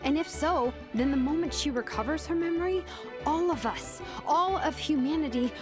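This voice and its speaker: A woman's voice: sits high, moderate pace, lightly acted. An actress voicing a cautious, thoughtful young woman who speaks earnestly and measuredly, sometimes hesitating. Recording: game soundtrack music behind.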